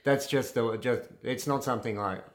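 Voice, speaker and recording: A man born in Serbia co-hosting a casual couple's chat, easygoing and a bit halting as he talks. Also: mid-range voice. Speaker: podcast co-host.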